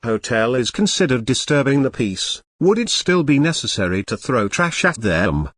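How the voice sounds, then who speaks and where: A man's voice: medium pitch, big pitch swings, fairly bright tone, dry recording. Man as a dry, formal courtroom judge. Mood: measured. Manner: measured, official.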